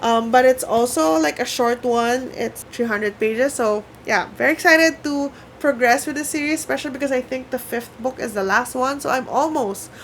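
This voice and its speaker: A young woman from the Philippines, a Tagalog speaker talking casually to camera at home by her bookshelves, chatty and excitable. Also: sits high, moderate pace, hardly any pauses, a little hiss or hum. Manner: chatty, excitable, self-mocking. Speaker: book vlogger.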